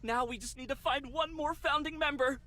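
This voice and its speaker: A young game heroine's part as loyal assistant. She is courteous and admiring, in a high-pitched voice. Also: moderate pace, bright, forceful tone. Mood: admiring, curious. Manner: deferential, polite. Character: admiring, polite young female assistant to the hero. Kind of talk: video game voice acting.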